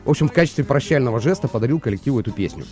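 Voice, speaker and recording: A man's voice: medium pitch, lively intonation, rapid-fire, darkish tone, soft background music. A man hosting a radio show, chatting loosely with many fillers into a studio microphone. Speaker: radio host. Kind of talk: morning radio show chat.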